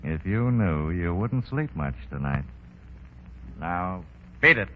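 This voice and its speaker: A man's voice: sits low, big pitch swings, slow, very noisy, exaggerated voice. A man acting a shrewd American racketeer boss in a 1930s radio drama, with slangy, sardonic, commanding talk. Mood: grim. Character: smooth, shrewd male racketeering crime boss. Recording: dull 1930s radio broadcast.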